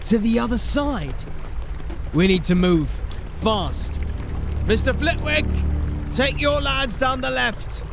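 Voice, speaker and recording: Male commanding fantasy sergeant: high, gravelly, urgent and clipped, music underneath. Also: takes it slowly, heavily acted. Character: gruff, commanding city watch sergeant leading a desperate fight. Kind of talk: fantasy audio drama acting.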